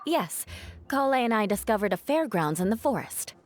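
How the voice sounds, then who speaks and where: A kind young woman adventurer in a video game. Her voice is fairly high with lively intonation. She stays composed, polite and gently firm. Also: normal speed. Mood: calm.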